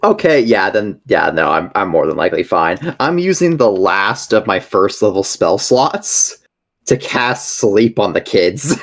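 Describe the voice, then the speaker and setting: Low-pitched man, hesitant game player. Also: very animated, measured pace, a bit bright. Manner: hesitant, restarts sentences repeatedly. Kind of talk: casual improvised game chat.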